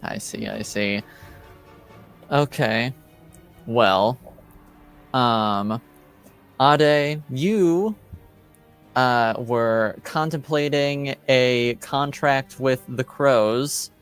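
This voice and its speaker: A man acting as game master, casually moderating a tabletop roleplaying game in hesitant, pausing phrases. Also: medium pitch, smooth, faint music behind.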